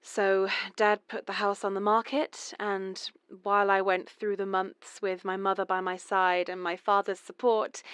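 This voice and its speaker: A woman's voice: mid-range voice, clean tone, dry recording, close to an everyday voice. A woman playing a mother calling a radio show, telling her family's story in a hesitant, confessional way. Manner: confessional, hesitant, nervous laughs. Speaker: caller. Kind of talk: horror radio drama monologue.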